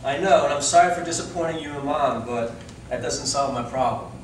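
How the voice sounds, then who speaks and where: In a heavily echoing room, a man reads the part of a young boxer, troubled and defensive. His mid-pitched voice sounds far from the mic, with faint noise, as part of a stage reading. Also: steady tone, brisk, lightly acted.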